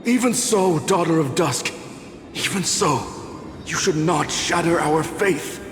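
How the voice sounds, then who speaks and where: An actor playing a distressed, devout believer in a video game, dramatic and reproachful. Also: male voice, fairly high pitch, takes it slowly. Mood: pleading. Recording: echoey hall, music behind.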